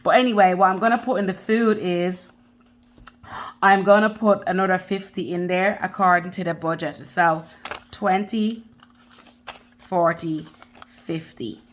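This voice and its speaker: Dublin accent woman in her 30s, budgeting vlog, rambly chatty style, kinda low voice. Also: fairly even intonation, unhurried, long pauses, slight background noise. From Caribbean. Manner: chatty and rambling, addresses viewers directly. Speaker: budgeting vlogger. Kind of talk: casual chat to camera.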